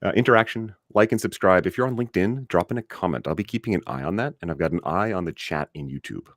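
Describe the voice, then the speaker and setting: A man with a very low, deep voice hosts a live webinar in an upbeat, chatty way, talking directly to the audience. The sound is dry and close, as if from a desk mic on a video call. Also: fast talker, dry recording. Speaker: host and trainer.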